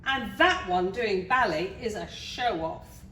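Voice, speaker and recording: A woman voicing a spoiled, shouty little princess from a storybook, with a shrill, demanding, whining delivery. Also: expressive, slow. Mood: bossy, fussy. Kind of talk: children's storybook character voice. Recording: roomy, distant mic, faint noise.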